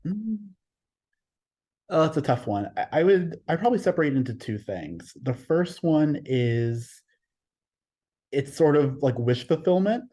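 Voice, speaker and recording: A man from Texas, a guest artist on a podcast, talking casually and thoughtfully, often pausing to find his words. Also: a little high, very animated, dark tone. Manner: thoughtful, searching for words, frequent restarts.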